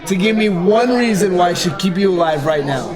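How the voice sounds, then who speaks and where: A man playing a gruff, domineering fifty-year-old officer in a tabletop game, barking short threats and orders. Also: sits high, expressive, fairly quick. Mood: menacing, commanding. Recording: live convention hall, loud crowd behind.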